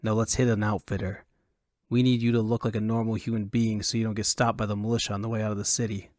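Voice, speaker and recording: A man's voice: lowish voice, level tone, quick pace, darkish tone, no room sound, natural voice. A man voicing a blunt, pragmatic hired adventurer in an audiobook, with a dry, terse, impatient manner. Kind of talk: audiobook character acting.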